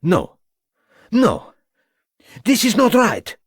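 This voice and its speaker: A man's voice: sits low, big pitch swings, moderate pace, dry recording, studio quality. A man voicing a cornered male con man who drops a fake French accent for a Cockney one, pleading and sly. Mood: desperate. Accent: fake French, then Cockney. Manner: pleading, rising to desperate shouts.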